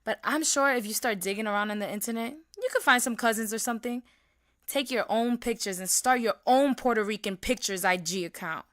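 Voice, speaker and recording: A young woman acting a blunt, slangy Puerto Rican high school girl in a naturalistic audio drama. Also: a little fast, a bit bright, no echo, clean studio sound, natural voice. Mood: warm, encouraging.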